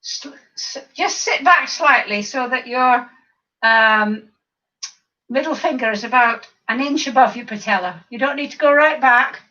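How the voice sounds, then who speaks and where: A croaky older woman counts slowly through exercise reps, her voice bright and high. She sounds distant from the mic on a dull, lo-fi recording. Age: over 50. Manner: counting reps, chatty asides. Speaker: fitness instructor. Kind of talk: leading a seated exercise class.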